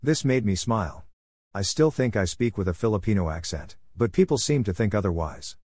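Low-pitched man reading an essay. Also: animated, a little fast, darkish tone, dry recording, clean studio sound. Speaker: narrator reading an opinion column. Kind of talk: scripted narration of a personal essay.

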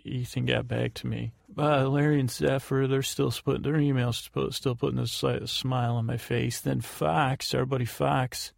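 A man hosting a sleep podcast, rambling through housekeeping in a halting way and often correcting himself. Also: mid-range voice, gravelly, a little mellow, no room sound, clean studio sound. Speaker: podcast host.

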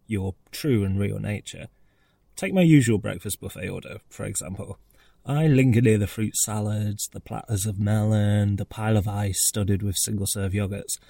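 A man with a gravelly, husky voice reading out a humorous scripted personal essay. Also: fairly low pitch, mellow. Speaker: essayist reading own piece.

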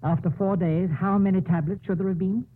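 An actor playing a weary, well-meaning hospital doctor, earnest and explanatory, in 1950s radio drama style. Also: male voice, high voice, steady tone, a little fast, a little hiss or hum, lightly acted. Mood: earnest, insistent. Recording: tinny old radio broadcast.

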